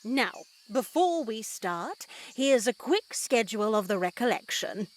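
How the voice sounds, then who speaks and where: Slow, pious motherly nun. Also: on the high side, sing-song. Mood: gentle, welcoming. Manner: measured, gently formal and instructive. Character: pious, strict motherly nun leading a convent retreat. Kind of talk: scripted visual novel voice acting.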